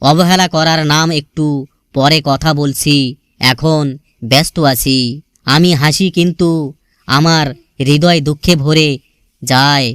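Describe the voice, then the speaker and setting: A man's voice: on the high side, fairly even intonation, takes it slowly, long pauses, fairly bright tone, clean tone, no echo, clean studio sound. A man reciting sentimental scripted lines about love in an earnest, melancholy, poetic manner.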